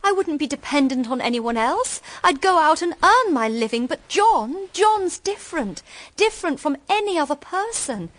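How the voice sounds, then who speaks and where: Casting: childlike, romantic young British woman for period radio drama. High, very lively, earnest voice. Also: moderate pace, no echo. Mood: indignant, adoring.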